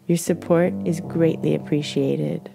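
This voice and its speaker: A woman hosting a podcast who reads a measured scripted introduction and spells out web addresses letter by letter. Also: sits low, fairly even intonation, vocal fry. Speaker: podcast host. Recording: guitar music under the voice.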